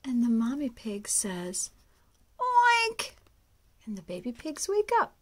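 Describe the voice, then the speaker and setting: A woman reading a picture book aloud to young children, often hushed, with exaggerated wonder. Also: on the high side, big pitch swings, moderate pace, slightly rough. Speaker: storyteller and children's music teacher.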